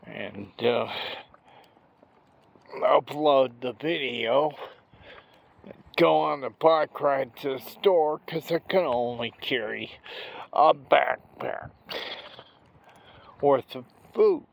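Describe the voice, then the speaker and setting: A man with a gravelly voice from a stroke, talking slowly and haltingly in a casual vlog while out walking, recorded on a handheld phone. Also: mid-range voice. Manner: rambling, many pauses and restarts. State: recovering from a stroke, slow effortful speech. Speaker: vlogger. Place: outdoors on a walk.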